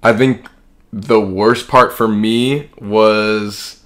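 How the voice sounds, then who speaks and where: A man's voice: on the low side, steady tone, very slow, fairly bright tone. A man in his early twenties with an American accent, talking casually and reflectively in a rambling, informal way as a podcast co-host. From Kansas, United States. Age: early 20s. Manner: rambling, informal, heavy use of "like".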